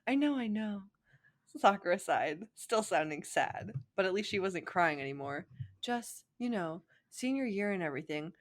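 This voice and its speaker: A woman's voice: mid-range voice, lively intonation, moderate pace. A woman co-host chatting casually, with a giggly, playful and informal way of talking. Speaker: co-host commenting on fan fiction. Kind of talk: casual chat.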